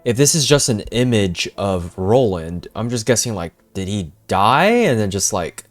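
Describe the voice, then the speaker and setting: Low-voiced, animated man reacting. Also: moderate pace, faint music behind. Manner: informal, slangy. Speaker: gaming streamer reacting to a story quest. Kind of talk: casual live reaction commentary.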